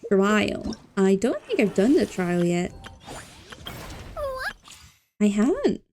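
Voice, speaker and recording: A woman's voice: mid-range voice, big pitch swings, unhurried, background noise. A woman livestreaming a game, chatting casually and playfully with her viewers, repeating words and drawing them out. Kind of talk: casual gaming stream chat with viewers.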